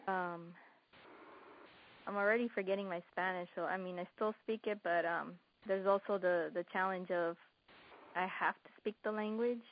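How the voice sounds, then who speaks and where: A woman's voice: medium pitch, steady tone, normal speed, long pauses, a little hiss or hum. Woman, from Los Angeles, hesitant, filler-heavy, casual sharing, lo-fi dull sound. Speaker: group participant.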